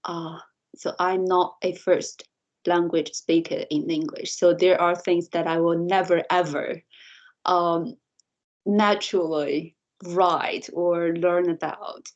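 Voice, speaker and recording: Low-voiced woman, native Mandarin speaker. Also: flat delivery, takes it slowly, highs cut off. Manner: thoughtful, frequent light laughter and restarts. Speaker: interview guest, lecturer. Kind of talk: reflective podcast interview answer.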